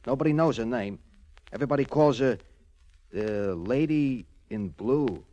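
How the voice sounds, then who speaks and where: A streetwise American stool pigeon played by a male actor in a vintage radio crime drama. He talks in chummy, ungrammatical underworld slang at a middling pitch. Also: animated, unhurried, dark tone, highs cut off, soft background music, lightly acted. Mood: excited. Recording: old 1940s radio transcription.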